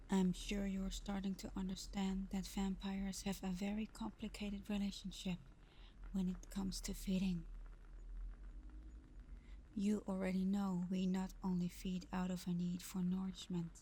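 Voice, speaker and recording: Slow, hushed vampire girlfriend, acted by a woman. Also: mid-range voice, a little hiss or hum, close to an everyday voice. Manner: soft, breathy, half-whispered, unhurried. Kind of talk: intimate ASMR roleplay.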